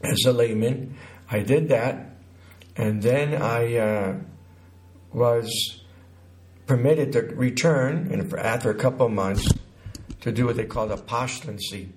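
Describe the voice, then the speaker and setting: A man in his sixties from Connecticut recounts his life as an interview guest, haltingly, pausing mid-sentence. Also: mid-range voice, a little mellow, slight background noise. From Connecticut, United States. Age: 60s.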